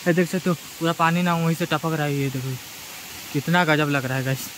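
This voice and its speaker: High-voiced guy from chhattisgarh chatting to his viewers while hiking, faint outdoor hiss. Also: steady tone, fairly quick, a bit bright, poor audio. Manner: chatty, addresses viewers as guys. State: tired. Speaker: travel vlogger. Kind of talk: casual vlog narration while riding and hiking. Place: outdoors on rural roads and forest trails.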